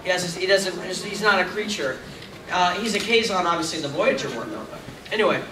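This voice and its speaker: A man's voice: sits high, fast. A middle-aged man answering fans' questions at a convention panel, chatty and rambling, with self-mocking asides. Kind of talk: casual Q&A with fans. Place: large convention hall. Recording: echoey hall taped from far off, noisy.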